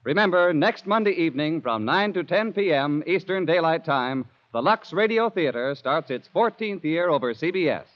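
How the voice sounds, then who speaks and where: A man's voice: a little high, lively intonation, normal speed, hardly any pauses. A man announcing in a scripted 1940s radio style. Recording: lo-fi vintage radio broadcast. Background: faint music.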